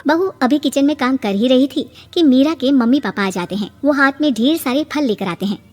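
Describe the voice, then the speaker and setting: High-voiced woman narrating a story. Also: fairly quick, in a large hall. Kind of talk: scripted animated story narration.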